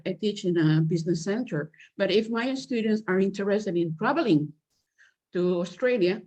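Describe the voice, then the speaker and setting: Female English teacher, low voice, halting delivery over a video call. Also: darkish tone, rough recording. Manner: halting, many restarts and fillers. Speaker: guest speaker, English teacher. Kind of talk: online meeting discussion.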